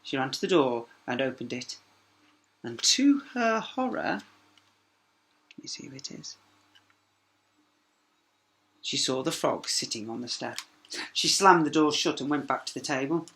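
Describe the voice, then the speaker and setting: A man's voice: mid-range voice, animated, a little slow, pauses often, bright, forceful tone. A man narrating a children's story expressively as a teacher, with small asides to young listeners.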